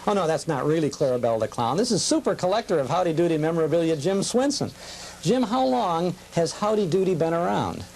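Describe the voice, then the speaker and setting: A man's voice: a little high, very animated, brisk, darkish tone. A man hosting a casual television interview as host and interviewer, on a noisy old tape. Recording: noisy deteriorated cable TV tape.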